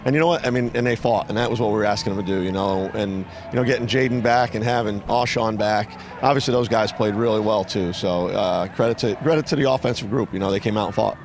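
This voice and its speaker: Fast-talking coach, lots of "you know". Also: male voice, lowish voice, noisy background. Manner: rapid, filler-heavy with "you know, I mean". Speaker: head football coach. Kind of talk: postgame interview. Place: outdoors on a football field at night. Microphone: handheld reporter mic.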